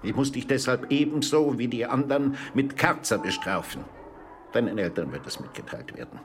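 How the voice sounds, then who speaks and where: An actor playing a stern head teacher who speaks in a stiff, formal and severe way in a radio drama. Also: male voice, medium pitch, lively intonation, normal speed, slight background noise, natural voice. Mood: severe, reproachful.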